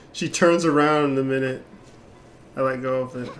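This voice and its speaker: A man streaming a game with a mid-pitched voice. He comments casually and offhand in short bursts, half to himself, with faint noise behind him. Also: fairly even intonation, takes it slowly, fairly bright tone. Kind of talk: casual live gameplay commentary.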